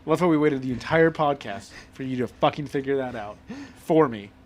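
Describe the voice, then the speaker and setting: A man co-hosting a casual podcast, joking, swearing and laughing through quick banter. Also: mid-range voice, darkish tone, slight background noise. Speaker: podcast co-host. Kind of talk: casual chat.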